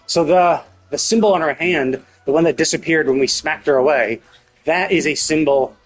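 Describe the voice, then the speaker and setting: A man with a slightly husky voice streaming casual game commentary, thinking aloud in a questioning, rambling way. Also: fairly high pitch, normal speed, faint music behind, rough recording. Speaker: live streamer.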